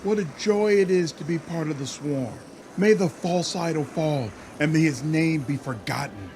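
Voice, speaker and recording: A man's voice: on the high side, normal speed, darkish tone, slight background noise, pitched above the performer's own voice, close to an everyday voice. A man voicing a fawning, devout servant of the swarm in a fantasy game, gushing and reverent. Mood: adoring.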